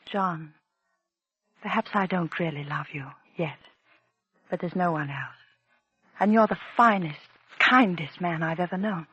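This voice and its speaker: An actress playing a poised, genteel, scheming young stage actress in a 1940s radio drama, with theatrical composure. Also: female voice, lowish voice, unhurried, long pauses, fairly bright tone, breathy edge, highs cut off, full character voice. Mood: earnest, calculating. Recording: vintage 1940s radio recording.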